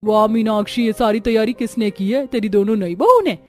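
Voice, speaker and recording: Woman as a high-voiced gossipy neighbour aunty. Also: fairly quick, darkish tone, faint music behind, lightly acted. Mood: impressed. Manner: effusive, nosy. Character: gossipy, admiring middle-aged neighbour aunty. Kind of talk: Hindi cartoon family drama voice acting.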